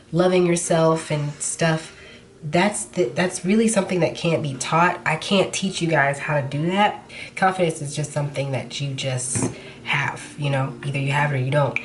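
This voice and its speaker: A young woman vlogger with a slightly nasal voice from a recent cold, chatting frankly while she does her makeup. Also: low-pitched. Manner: casual, frank, talks while applying makeup. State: nasal after being sick. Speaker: beauty vlogger. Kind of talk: chatty makeup tutorial talk.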